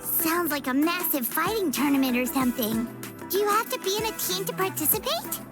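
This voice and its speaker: A woman voicing a tiny, perky, childlike female fairy companion in a video game, with a squeaky, chirpy voice. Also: very animated, a little fast, loud music behind the voice. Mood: curious. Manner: chirpy, refers to self in third person. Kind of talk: video game character voice acting.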